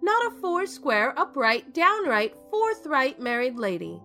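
A woman's voice: high-pitched, big pitch swings, unhurried, pushed and bright, faint music behind, exaggerated voice. A woman acting in an audio drama as an outspoken middle-aged leading actress, theatrical, blunt and emphatic. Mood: earnest, emphatic.

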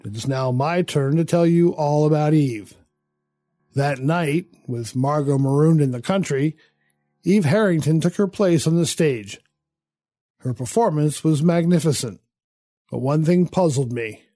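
An actor playing a suave, cynical theatre critic who narrates a radio drama in an arch, precise, pompous way. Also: male voice, a little high, measured pace, mellow, no echo, soft background music. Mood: arch, suspicious.

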